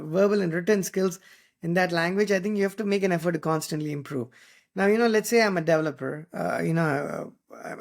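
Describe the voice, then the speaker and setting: A man with an Indian English accent, whose first language is Tamil, speaks in a fairly high voice with a gravelly fry. He delivers an earnest podcast monologue of advice, full of "uh" and restarts, checking in with "right?". The talk stays unscripted and conversational. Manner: earnest, many fillers and restarts, frequent "right?" tags. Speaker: podcast host.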